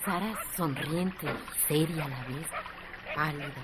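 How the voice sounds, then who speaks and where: A woman's voice: low voice, unhurried. A woman narrating a radio drama from a script in short descriptive phrases. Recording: strong noise behind the voice.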